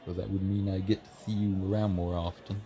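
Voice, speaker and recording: A man's voice: low voice, flat delivery, fast, warm tone. A man playing a shy, friendly young writer in an ASMR roleplay, chatting bashfully and earnestly, a little hesitant. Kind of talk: ASMR roleplay, soft conversational acting. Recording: busy café crowd murmur behind. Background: café crowd chatter.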